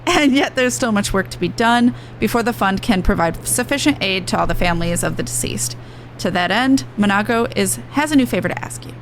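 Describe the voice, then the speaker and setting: A woman's voice: mid-range voice, animated, a little fast, slight background noise, poor audio. A woman game streamer reading dialogue aloud with chatty reactions, stumbling and correcting herself as she goes. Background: video game music.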